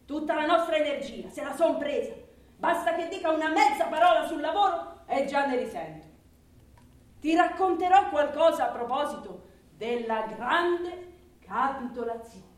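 A woman's voice: sits high, expressive, moderate pace. A woman playing a worldly, cynical, weary woman with a sardonic, declamatory delivery in theatrical radio drama. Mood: weary, bitter. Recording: roomy, distant microphone.

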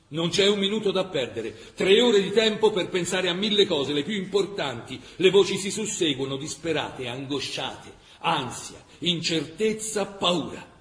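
A male actor playing a grave chronicler of a besieged wartime city in a radio drama, measured and emphatic with dramatic pauses. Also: fairly high pitch, a bit bright. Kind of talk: dramatic scripted documentary narration. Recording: roomy, echoing radio theatre sound.

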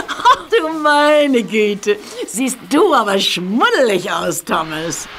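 A vain, haughty lady steam engine in a children's audio drama. Her voice sits a bit high and sweeps widely. She drawls her teasing lines slowly. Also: sing-song, fairly bright tone, slight background noise, full character voice.

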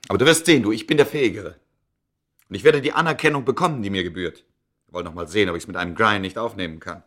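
A man's voice: on the low side, racing, fairly bright tone. A man acting in a German radio play as a self-absorbed, stubborn scholar, boastful yet anxious, with a brusque, hesitant delivery. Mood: jealous, boastful. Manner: hesitant filler-laden speech, brusque, interrupting.

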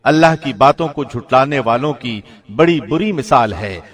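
A man reading a scripture translation like a radio presenter, formal, solemn and measured. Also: mid-range voice, lively intonation.

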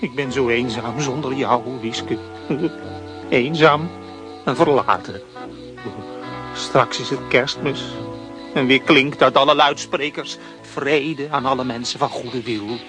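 A man's voice: mid-range voice, slow, lightly acted. A man voicing a cheeky, lonely talking dog in a children's radio play, speaking with a Flemish accent and a wry, mocking manner. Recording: music playing under the voice.

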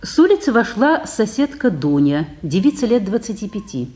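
A woman's voice: mid-range voice, very animated, normal speed, warm tone. A woman narrator reading scripted stage directions for a radio broadcast of a play.

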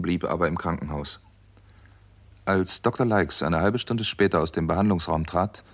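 An actor playing a composed, resolute doctor who narrates a radio crime story in a measured, matter-of-fact way. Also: male voice, sits low. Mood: grave. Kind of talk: scripted first-person crime drama narration.

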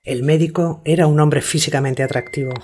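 A man narrating a scripted radio story in a measured, sober manner. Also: fairly high pitch, fairly even intonation, a little mellow, a little hiss or hum.

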